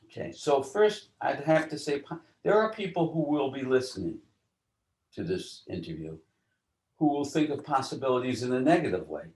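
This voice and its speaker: A man explaining ideas as an interview guest in a deliberate, teacherly way, often posing rhetorical questions. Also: medium pitch, sing-song, a little mellow. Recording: roomy, echoing voice.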